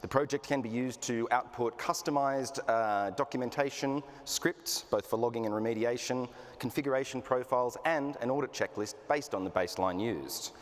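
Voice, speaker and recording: Aussie guy co-presenting at a conference, easygoing, mid pitch, echoey hall. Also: moderate pace, few pauses. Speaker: customer success manager presenting. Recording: reverberant hall.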